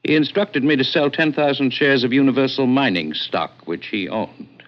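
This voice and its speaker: A man's voice: mid-range voice, normal speed, slight background noise, lightly acted. An actor playing a nervous, earnest attorney in a radio drama, hesitant and formal in his speech. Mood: anxious, insistent. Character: tall, thin, nervous, earnest male attorney client. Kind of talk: 1950s radio drama acting. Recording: muffled vintage radio recording.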